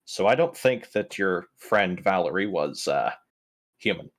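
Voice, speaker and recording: A man's voice: low voice, animated, a little slow. A man bantering casually during a tabletop roleplaying game session.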